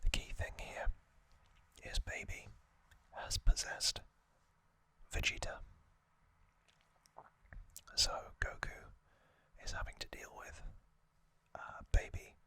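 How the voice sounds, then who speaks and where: ASMR narrator, sex open: sustained whisper, very unhurried, halting short phrases, explanatory recap delivery. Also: bright, forceful tone. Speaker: host of an ASMR channel.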